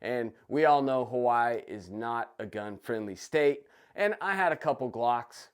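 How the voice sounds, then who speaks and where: A man hosting his own channel, talking casually about his story, his voice raspy and a bit nasal from a cold. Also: mid-range voice, animated, measured pace. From United States. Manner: enthusiastic, chatty. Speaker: gun channel host. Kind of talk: casual personal vlog. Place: basement room.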